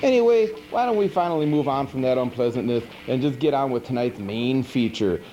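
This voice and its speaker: A man's voice: mid-range voice, expressive, fairly quick, dark tone, lo-fi sound, natural voice. A man playing a goofy monster who hosts a horror movie show, rambling in slangy, exasperated comic commentary. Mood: apologetic, grumbling. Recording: noisy 1980s VHS TV tape.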